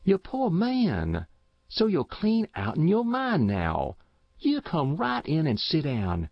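Man as stout old American farm wife, exclaiming, motherly, folksy, high voice, dull recording. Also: wildly swooping pitch, slow, gravelly, dry recording, exaggerated voice. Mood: pitying. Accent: rural American. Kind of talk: audiobook character voice.

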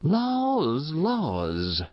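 A man's voice: on the high side, wildly swooping pitch, unhurried, vocal fry, no room sound, muffled recording, voice pushed up, exaggerated voice. A man voicing a stout, kindly old farm woman who fusses and exclaims in rural American speech for an audiobook. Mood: pitying. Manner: exclaiming, folksy. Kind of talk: audiobook character voice.

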